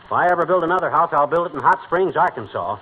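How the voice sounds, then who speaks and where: A man playing a grumbling, pompous American husband in an old radio comedy. His voice is fairly high and swings widely as he blusters like a know-it-all. The broadcast sounds muffled, with clear background noise. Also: lively intonation, quick pace, a crowd in the background, lightly acted. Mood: exasperated. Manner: indignant, pompous, mangles big words. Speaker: lead comedy actor in a radio sitcom. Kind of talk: 1940s radio comedy acting.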